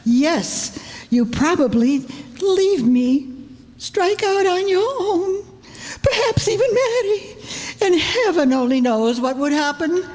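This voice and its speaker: A woman's voice: very high-pitched, slow, a little mellow. A woman playing an imperious, wealthy old aunt in an old-time radio drama, haughty and domineering. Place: live stage show in a hall. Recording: echoey hall, long reverb.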